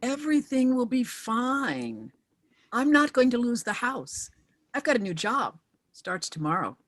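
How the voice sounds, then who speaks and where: A woman reads a middle-aged widow in a screenplay reading. Her voice sits fairly high and moves around a lot, anxious yet holding itself together, recorded close and dry. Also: big pitch swings, measured pace, no echo. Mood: reassuring. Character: recently widowed middle-aged mother, anxious but composed. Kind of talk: screenplay table read.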